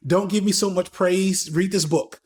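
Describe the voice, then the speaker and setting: A man's voice: high-pitched, fairly even intonation, moderate pace, a little mellow. A man in his thirties, a podcast guest talking casually and candidly, with slang, swearing and frequent restarts. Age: 30s. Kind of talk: casual conversational interview.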